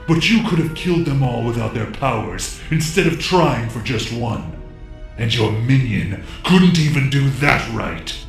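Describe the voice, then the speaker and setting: A man's voice: medium pitch, animated, unhurried, gravelly, roomy sound, faint music behind. A man playing a ruthless alien emperor in an audio drama, commanding, wrathful and full of contempt. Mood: scornful. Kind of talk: villain acting in audio drama.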